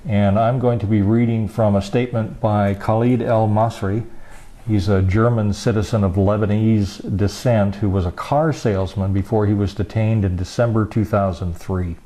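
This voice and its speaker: Man reading slowly in a low, dark voice. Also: fairly even intonation. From United States. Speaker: reader of a statement. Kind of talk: solemn measured reading.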